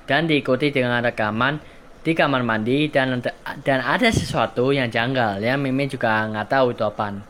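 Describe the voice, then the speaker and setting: Indonesian guy doing casual horror channel commentary, mid pitched voice, noticeable background noise. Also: moderate pace, a bit bright. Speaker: host of a horror recommendation channel. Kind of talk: informal video commentary. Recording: clear background noise and ambience.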